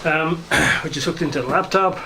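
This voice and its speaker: A man giving an informal, hesitant and unhurried demonstration of some hobby gear. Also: a little high, vocal fry, pushed and bright, slight background noise. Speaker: hobbyist video maker and shortwave listener.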